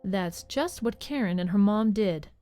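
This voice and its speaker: A woman's voice: medium pitch, animated, a little slow, warm tone. Woman narrating a story over music. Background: clear music.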